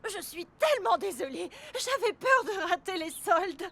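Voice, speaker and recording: Casting: busy cartoon mum, cheerful yet flustered, very high animated voice. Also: female voice, moderate pace, creaky, full character voice. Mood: apologetic. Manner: animated, exclaiming. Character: bustling, cheerful, flustered mother out shopping with her children. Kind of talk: children's cartoon dubbing. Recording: music behind the voice.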